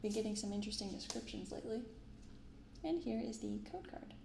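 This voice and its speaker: A soft-spoken woman hosting an ASMR unboxing, reading and commenting gently and without hurry. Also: mid-range voice, lively intonation, fairly quick, vocal fry, reverberant, background noise. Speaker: card unboxing video host. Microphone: binaural microphone close up.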